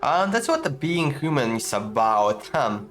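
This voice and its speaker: A man's voice: mid-range voice, big pitch swings, moderate pace, fairly bright tone. A man giving casual playthrough commentary as a gaming streamer, musing aloud in an informal, hesitant way. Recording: game music behind. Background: video game music.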